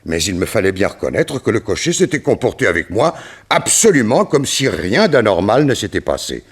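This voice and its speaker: A man playing a grave, troubled gentleman in a detective radio play, telling his account in a formal, theatrical way. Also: on the low side, sing-song, normal speed, husky. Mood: puzzled. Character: grave, troubled gentleman recounting a strange mishap.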